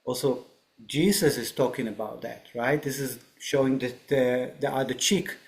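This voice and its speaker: A man's voice: mid-range voice, steady tone, moderate pace, vocal fry. A man talking through spiritual ideas on a podcast, reflective and explanatory, often checking in with "right?". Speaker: podcast guest. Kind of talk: spiritual discussion.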